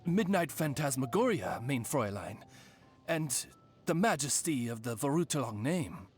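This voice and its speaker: An actor voicing a courtly talking raven, a loyal servant who speaks formally with deadpan, gently teasing wit in a video game. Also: mid-range voice, moderate pace, soft background music, close to an everyday voice. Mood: deferential, wry. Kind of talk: English video game character voice lines.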